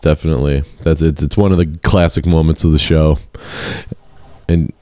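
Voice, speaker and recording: Low-voiced male co-host, smooth and resonant, laid-back, blunt, swears casually. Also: steady tone, normal speed, dark tone, no echo. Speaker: podcast co-host. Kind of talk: casual podcast chat.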